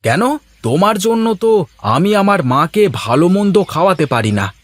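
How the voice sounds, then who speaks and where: A man's voice: high-pitched, sing-song, moderate pace, no echo. A man voicing a devoted village son and firm husband in a cartoon. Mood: defiant, annoyed. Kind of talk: cartoon voice acting.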